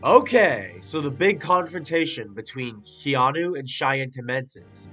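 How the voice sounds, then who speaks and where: A man's voice: mid-range voice, lively intonation, measured pace, bright, forceful tone, soft background music. A man giving a casual spoken review with a stuffy, congested nose, chatty and full of filler words. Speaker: video reviewer.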